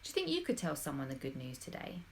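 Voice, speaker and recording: Expressive woman reading a children's story. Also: a bass voice, quick pace, a little hiss or hum. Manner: animated, dramatic, addressing young listeners directly. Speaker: storybook reader.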